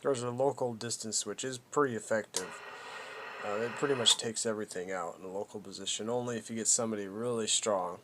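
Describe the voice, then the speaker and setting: A man giving a casual, unscripted product walkthrough as a hobbyist, haltingly thinking aloud as he goes. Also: on the low side, steady tone, pushed and bright, a little hiss or hum. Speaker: hobbyist presenter demonstrating a vintage CB radio.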